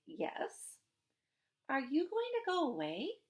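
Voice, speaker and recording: Dreamy American ten-year-old storybook girl played by a woman, high, lilting, earnest and a little hesitant. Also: wildly swooping pitch, slow pace, full character voice. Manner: wistful, hesitant, childlike.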